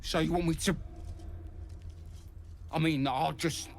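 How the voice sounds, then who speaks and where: An actor playing a crude, cocky goblin cult soldier with a taunting, slangy way of talking in a fantasy game. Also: male voice, a little high, steady tone, slow, creaky, slight background noise. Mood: nervous.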